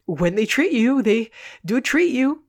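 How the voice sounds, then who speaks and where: A woman's voice: a little high, sing-song, unhurried, voice pushed up, full character voice. A woman voicing a flustered, stammering male comic actor in a storybook reading. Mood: soothing. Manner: stammering, exasperated. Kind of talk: dramatic audiobook reading.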